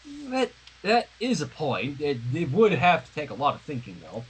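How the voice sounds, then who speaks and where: A man reading fan fiction aloud on a livestream, mixing animated narration with casual asides. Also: a little high, moderate pace, husky, low-quality recording.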